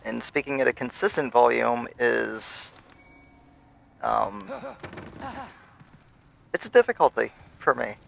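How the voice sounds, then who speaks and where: Man streamer, low gravelly voice, lively intonation, hesitant musing delivery; clear background noise. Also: slow, long pauses. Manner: rambling, thoughtful, hesitant with fillers. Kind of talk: casual musing while livestreaming a game.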